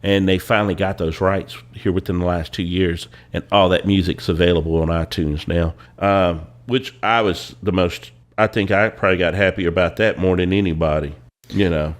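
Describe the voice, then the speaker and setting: A young man with a very deep, congested voice, stuffy from a cold. He chats casually as a podcast co-host, unhurried and drawling, with lots of "uh" pauses. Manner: relaxed, drawling, with many "uh" pauses. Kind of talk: casual chat.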